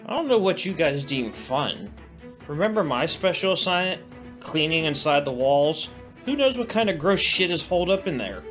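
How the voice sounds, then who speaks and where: An excitable young male cadet, acted by a man in an audio drama. His voice is notably high and swoops around, slangy and sardonic, with faint music behind. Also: normal speed. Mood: grumbling. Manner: slangy, animated.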